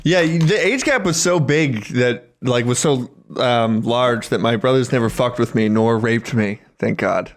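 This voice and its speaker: A man's voice: mid-range voice, measured pace, few pauses. Male podcast co-host, thirties, loose conversational delivery, crude humor, plenty of swearing. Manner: crude, joking, swears freely. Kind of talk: casual chat.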